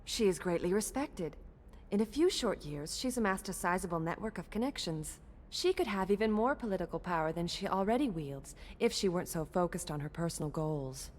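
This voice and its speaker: A woman's voice: fairly low pitch, expressive, brisk, darkish tone, slight background noise, close to an everyday voice. A woman playing a polite, deferential alien assistant in a video game, speaking formally. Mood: polite, respectful. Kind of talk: video game dialogue acting.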